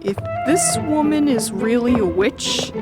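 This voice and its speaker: A woman's voice: fairly high pitch, lively intonation, measured pace, over loud music. A woman playing a wry, wary middle school boy in an audio drama, teasing and slangy.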